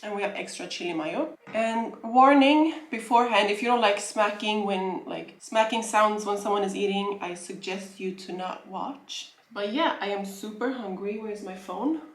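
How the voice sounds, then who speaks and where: A woman's voice: medium pitch, normal speed, few pauses, reverberant. A young woman from Sweden chatting casually as she eats and answers questions in a home vlog, rambling and correcting herself. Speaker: vlogger. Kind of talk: casual mukbang Q&A chat. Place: room at home.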